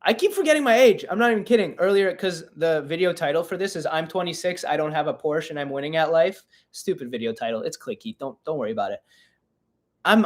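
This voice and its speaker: A young man in his twenties hosts a live Q&A stream in a loose, chatty way, often poking fun at himself. His voice sits a little high for a man. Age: 20s. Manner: chatty and self-mocking, reading chat aloud. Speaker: podcast host.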